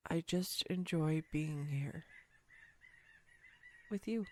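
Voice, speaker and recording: A woman's voice: deep voice, very slow. A woman playing a clumsy, sweetly self-deprecating young woman on a date, in an intimate, earnest romantic audio roleplay. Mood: sheepish, grateful.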